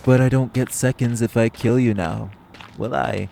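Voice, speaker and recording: A man's voice: mid-range voice, steady tone, normal speed, creaky, noisy background, close to an everyday voice. A man playing a cocky, teasing vampire in an audio roleplay, bantering with a hunter in a smug, sardonic, flirty way and swearing freely. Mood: menacing, flirty. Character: cocky, teasing, hungry male vampire carrying his hunter rival. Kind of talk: audio roleplay acting, addressed to the listener.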